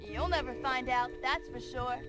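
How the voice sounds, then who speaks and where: A woman acting as a sharp-tongued thief in a game cutscene. Her high voice brushes people off with curt taunts, and loud music runs underneath. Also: normal speed, close to an everyday voice. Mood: dismissive.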